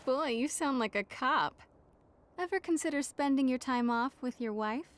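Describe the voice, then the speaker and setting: An actress playing a wry, sharp female investigator in a video game, with a cool, direct delivery. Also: sits high, moderate pace, slight background noise, lightly acted. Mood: teasing, knowing. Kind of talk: English video game dub dialogue and narration.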